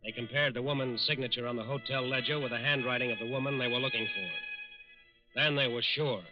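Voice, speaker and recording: A man narrating a 1940s radio crime drama in a deliberate, dramatic manner. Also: mid-range voice, highs cut off. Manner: deliberate, dramatic repeated phrases. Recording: band-limited 1940s radio recording. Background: dramatic music.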